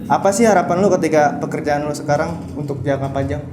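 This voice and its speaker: A man's voice: fairly high pitch, quick pace. A man hosting an interview in a casual way. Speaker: interviewer, host. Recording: roomy echo, music bed.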